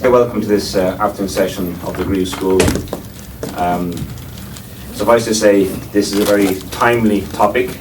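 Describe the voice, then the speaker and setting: Irish male chairman, low voice, wry and hesitant, distant mic with faint hiss in a lecture room. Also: steady tone, normal speed. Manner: wry, digressive, with frequent hesitations. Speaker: chairman of a panel discussion. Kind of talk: formal panel introduction. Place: lecture room before an audience.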